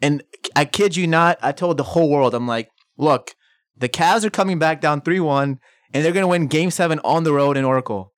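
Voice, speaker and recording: Man, from New York, emphatic, higher-pitched, dry studio sound. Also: fairly quick, dry recording. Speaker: podcast guest. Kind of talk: casual sports chat.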